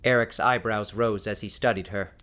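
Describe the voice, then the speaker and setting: A man with a fairly low voice narrates an audiobook. His delivery is measured and descriptive, shaped as scripted fiction storytelling. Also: fairly even intonation, sharp tone.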